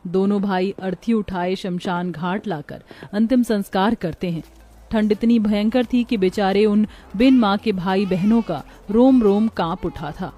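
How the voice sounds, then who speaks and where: Mellow woman narrating a moral story. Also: medium pitch, a little slow, soft background music.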